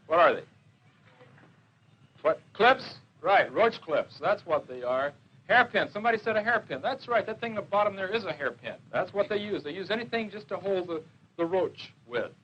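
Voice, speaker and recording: Higher-voiced man lecturing, dull noisy old film. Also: animated, normal speed. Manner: questioning, repeats and confirms answers. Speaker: police drug educator giving a school talk. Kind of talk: informal classroom lecture with slides and questions to pupils. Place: classroom with a slide projector. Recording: dull, noisy 1970s educational film soundtrack.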